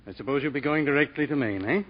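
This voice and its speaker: An actor as a firm, husky-voiced doctor. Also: male voice, medium pitch, fast, close to an everyday voice. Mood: genial, reassuring. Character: frank, reasonable male sanatorium doctor, quietly firm. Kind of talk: 1940s radio drama acting. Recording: dull, band-limited 1940s radio recording.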